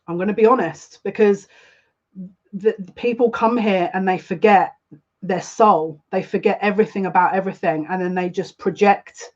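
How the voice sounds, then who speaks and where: Woman, psychic livestream host, earnest confiding talk, repeats herself, mid-pitched, slightly muffled stream audio. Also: steady tone, moderate pace. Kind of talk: live spiritual reading, casual monologue.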